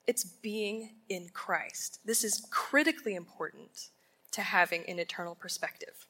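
Slow, bright-voiced woman preaching. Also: mid-range voice, husky. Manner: deliberate, emphatic, asks rhetorical questions. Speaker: Bible teacher at a women's conference. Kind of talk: sermon. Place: conference ballroom.

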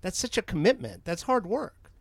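A man interviews a chef before an audience, stringing out long, rambling questions and slipping in quick affirmations. His voice sits a bit high for a man and sounds dry and close to the mic. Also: big pitch swings, gravelly, dry recording. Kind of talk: onstage interview.

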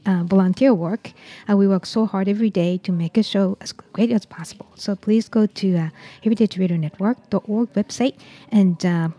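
A woman hosting a radio interview, speaking softly and hesitantly with many fillers. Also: mid-range voice. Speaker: podcast host.

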